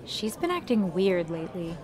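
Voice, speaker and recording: A woman's voice: lowish voice, big pitch swings, normal speed, a little mellow. A woman playing a friendly, easily flustered young woman in an amateur audio drama, conversational and apologetic. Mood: chatty.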